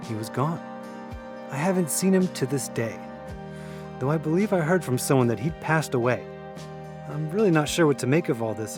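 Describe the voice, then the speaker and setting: A man's voice: mid-range voice, close to an everyday voice. A man playing a knowing young man in an audio drama, talking in a casual, matter-of-fact way. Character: Zeb, knowing young man telling eerie memories.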